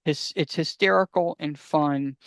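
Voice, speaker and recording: Guy podcast host, crisp voice, dry close sound, chatting keenly about fan stuff, trips on words a bit. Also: on the high side, unhurried, dry recording. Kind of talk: casual fan podcast discussion, giving recommendations.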